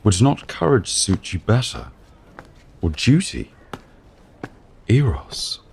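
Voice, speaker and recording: An actor playing a lonely, wounded god of death in an audio drama, musing theatrically in formal, archaic phrases with a gravelly, husky voice. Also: male voice, lowish voice, wildly swooping pitch, slow, darkish tone, a little hiss or hum, heavily acted. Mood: wry musing.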